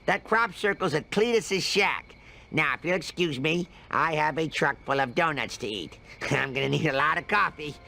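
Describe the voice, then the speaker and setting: Gluttonous cartoon cop: dim, offhand, lively delivery. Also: male voice, fairly high pitch, normal speed, vocal fry, exaggerated voice. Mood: whiny, flippant. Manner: casual, self-indulgent. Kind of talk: animated cartoon game acting.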